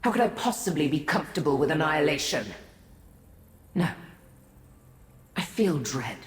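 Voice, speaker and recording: A woman's voice: low-pitched, sing-song, moderate pace. A woman playing a proud, bitter Daedric prince in a fantasy video game, speaking solemnly and formally with an imperious manner. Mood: despairing. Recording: roomy echo with long reverb, music behind.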